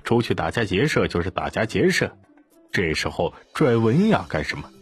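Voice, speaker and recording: Male audiobook narrator, low-pitched and slightly husky, scripted storytelling over faint music. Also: very animated, normal speed.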